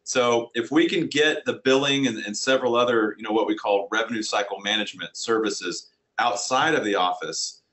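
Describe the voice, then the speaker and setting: Slightly gravelly, bright low-voiced man. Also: normal speed. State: congested from allergies. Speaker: company president, webinar co-host. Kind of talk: conversational business pitch.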